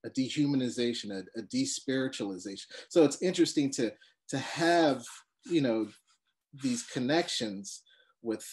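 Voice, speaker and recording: A man speaking as a panelist in a reflective discussion, halting and searching for words with many restarts. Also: medium pitch, a little mellow.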